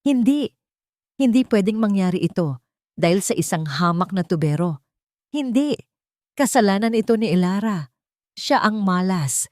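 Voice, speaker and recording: A woman's voice: medium pitch, expressive, normal speed, long pauses, a little mellow, no room sound, studio quality, pitched above the performer's own voice, close to an everyday voice. A woman voicing a proud, bossy wealthy older matriarch who screams and rants in a dramatized audio story. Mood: furious. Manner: screaming, tearful outbursts.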